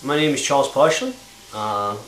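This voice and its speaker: American man, halting and casual, echoey room. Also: mid-range voice, fairly bright tone. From Long Island, New York, USA. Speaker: actor. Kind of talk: casual, halting talk about his role. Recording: roomy with faint noise.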